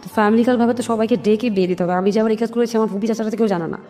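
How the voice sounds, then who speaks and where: Fast-talking Bangladeshi woman in long reverb. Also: on the high side. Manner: animated retelling with quoted family dialogue, English words mixed in. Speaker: guest telling her life story. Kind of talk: personal storytelling in an interview. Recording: long hall reverb, music underneath.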